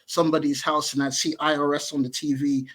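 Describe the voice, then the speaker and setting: A man in his early thirties talks casually as a guest on a podcast over a video call. His voice sits a little high, and he muses, hedges and corrects himself mid-thought. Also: flat delivery, fairly quick, a bit bright. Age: 30s. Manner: thoughtful, hedging, self-correcting.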